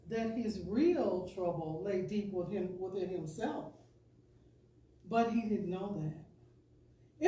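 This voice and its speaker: A woman giving a deliberate, expressive, oratorical reading of an inspirational talk to a congregation. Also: medium pitch. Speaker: speaker, professional storyteller. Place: spiritual center service, indoors. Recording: echoey room, distant pickup.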